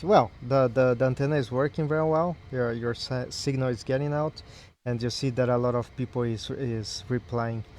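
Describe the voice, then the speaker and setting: Male ham radio operator, mid-pitched, friendly and casual, spells in phonetic alphabet. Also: a little mellow.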